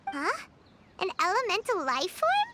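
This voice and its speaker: A woman voicing a small, excitable, childlike fairy companion in a video game, with a squeaky voice and an exclamatory way of talking. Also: measured pace, noisy background, exaggerated voice. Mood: curious. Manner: speaks of self in third person, exclamatory. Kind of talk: animated video game voice acting.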